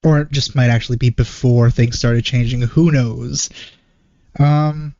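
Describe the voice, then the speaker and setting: A man giving casual reaction commentary as a video host, in a hesitant, low-key manner. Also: steady tone, a little slow, darkish tone, dry recording, highs cut off. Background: game trailer music.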